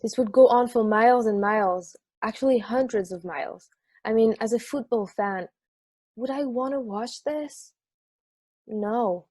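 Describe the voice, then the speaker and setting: A man's voice: high-pitched, unhurried, a little mellow, dry recording, studio quality. A man acting as an easygoing football fan who relishes weirdness, in a conversational script reading. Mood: enthusiastic, wry. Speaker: amateur actor in a remote table read.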